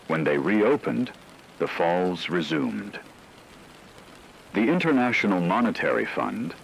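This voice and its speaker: A man's voice: low voice, a little hiss or hum, rough recording. A man playing a serious radio analyst of global collapse, reading scripted documentary narration in a formal, methodical manner.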